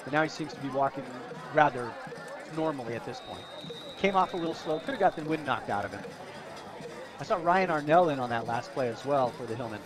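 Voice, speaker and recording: A man's voice: medium pitch, expressive, fairly quick. Chatty American male color commentator, crowd behind. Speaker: radio color commentator for high school football. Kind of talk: conversational sports color commentary. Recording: loud crowd behind outdoor broadcast. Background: stadium crowd.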